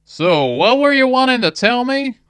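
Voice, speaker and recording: A woman voicing an excitable, blunt young man who yelps and exclaims. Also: a little high, normal speed, clean tone, no room sound, pitched above the performer's own voice. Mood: agitated. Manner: yelping, blunt.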